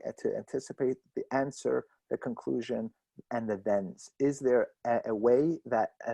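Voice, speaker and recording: A man with a gravelly, fry-laced voice moderates a conversation. His tone is dark and mellow, and the sound is dry and close. He rambles enthusiastically through many "uh"s and "um"s. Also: mid-range voice, no echo. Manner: rambling, filler-heavy, enthusiastic. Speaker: host and moderator. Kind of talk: moderating a literary conversation over video call.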